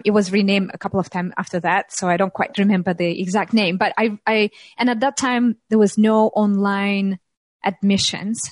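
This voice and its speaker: A woman, telling her story as an interview guest, thoughtful and pausing often. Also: mid-range voice, dry recording. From Russia. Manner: thoughtful, many pauses and ums, light laughs. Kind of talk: reflective interview talk.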